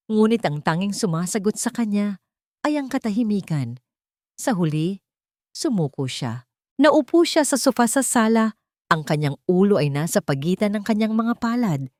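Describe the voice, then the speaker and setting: A woman narrating a scripted dramatic story in measured phrases with deliberate pauses. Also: medium pitch, darkish tone, no echo, studio quality.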